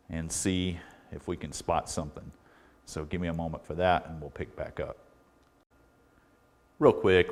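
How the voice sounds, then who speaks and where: Very deep-voiced American man explaining repairs. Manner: folksy, unhurried explaining with halting pauses. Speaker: electronics repair technician and video host. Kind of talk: casual hands-on repair walkthrough. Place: indoor workbench.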